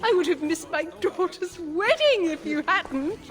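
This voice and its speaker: An actress voicing a grateful, excitable middle-aged village mother in a video game. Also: extremely high pitch, a little slow. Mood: delighted, grateful. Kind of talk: video game cutscene dialogue.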